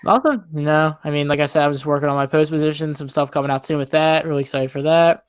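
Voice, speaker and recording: A man talks casually as a podcast co-host in a clear, somewhat high voice. The sound is dry, and his delivery is loose and informal, hedging as he thinks aloud. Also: fairly even intonation, fast, no room sound. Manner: hedging, informal, leans on "like" and "I mean". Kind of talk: casual chat.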